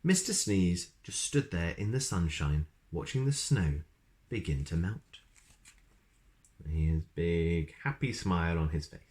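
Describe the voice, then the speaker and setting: Deep, very expressive male storyteller. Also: unhurried. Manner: expressive, chatty asides to listeners. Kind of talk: children's story read-aloud.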